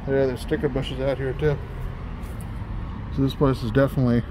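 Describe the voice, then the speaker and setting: A man's voice: medium pitch, flat delivery, normal speed. Rambling man vlogging outdoors. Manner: rambling, musing aloud with fillers. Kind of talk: casual walking vlog narration. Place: outdoors in a parking lot by a closed restaurant. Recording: outdoor traffic noise.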